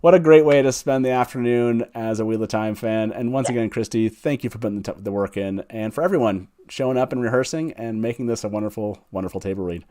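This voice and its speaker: A man's voice: fairly low pitch, fast, few pauses, warm tone. Chatty, enthusiastic man hosting a show. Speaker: show host. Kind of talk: casual livestream hosting.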